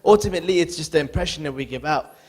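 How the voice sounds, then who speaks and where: A man's voice: on the high side, rapid-fire, slightly rough. A man from the audience earnestly asking the panel a long question, thinking aloud as he goes.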